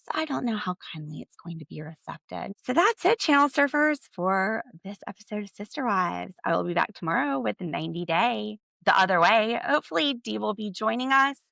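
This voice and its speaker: Woman podcast host, snarky reality tv recap, gravelly edge to her voice, dry close sound. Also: medium pitch, animated, fairly quick, hardly any pauses, bright, forceful tone, no room sound. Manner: sassy, sarcastic commentary.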